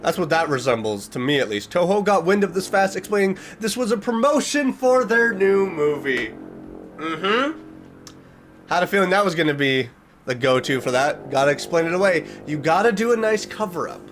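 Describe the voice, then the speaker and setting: Animated man with lively, swooping pitch. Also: normal speed, faint music behind. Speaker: reaction video host. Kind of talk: casual reaction commentary, reading aloud and reacting.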